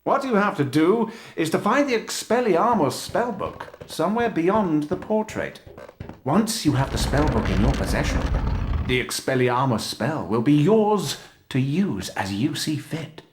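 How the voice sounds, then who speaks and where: An actor playing a vain, flamboyant British teacher, projecting theatrically to a class with great self-satisfaction. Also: male voice, a little high, very animated, a little slow, slight background noise. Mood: showy, pleased. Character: flamboyant, vain, showy male duelling teacher. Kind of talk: video game voice acting. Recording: roomy echo.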